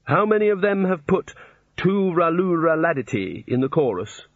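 A man's voice: a little high, sing-song, moderate pace, no room sound, phone-quality sound, pitched above the performer's own voice. A man voicing a hapless, anxious prisoner in an audiobook, with a flustered, wryly protesting way of talking. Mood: exasperated, desperate.

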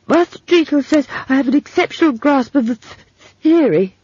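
A woman performs a lanky apprentice wizard lad from a storybook, pitched high and earnest. He stutters heavily on consonants and gulps for air between halting phrases. The audio is slightly dull. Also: animated, a little mellow, no echo. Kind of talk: audiobook character voice.

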